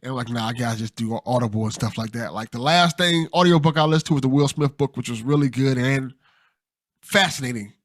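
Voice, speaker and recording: A man's voice: medium pitch, a little fast, no echo, clean studio sound. A man hosting a casual podcast interview, with a playful dramatic delivery when announcing questions and an easy laugh. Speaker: podcast host.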